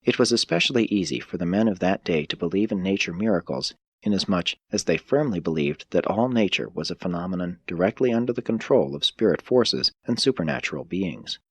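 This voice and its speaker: A man reading a religious audiobook as scripted narration, in a measured, solemn storytelling manner. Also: deep voice, expressive, slightly rough, no room sound.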